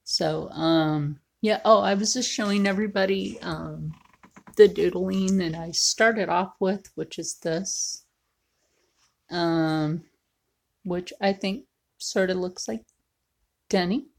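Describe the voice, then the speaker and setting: A woman in her forties chatting casually to viewers while she draws, often sinking into a low whisper. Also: creaky. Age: 40s. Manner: slow, frequently drops into a whisper. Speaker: video creator. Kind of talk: casual livestream chat while drawing.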